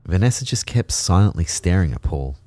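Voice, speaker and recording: An actor playing an uneasy, observant narrator in a first-person audio drama, speaking in a reflective, measured way. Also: male voice, sits low, lively intonation, warm tone, no room sound. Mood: thoughtful.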